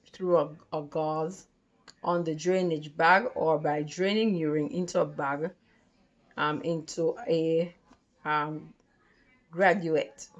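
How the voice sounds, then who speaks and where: Slow, halting woman reading a lesson aloud. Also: fairly low pitch, dull audio. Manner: halting, careful reading with stumbles and repeats. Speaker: tutor reading study material aloud. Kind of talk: read-along lesson from a textbook.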